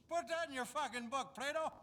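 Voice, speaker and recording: An actor playing a crude, bitter, drunken old gunslinger in a western game, cantankerous. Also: male voice, very high voice, pushed and bright, full character voice. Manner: cantankerous, ranting. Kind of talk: western video game acting.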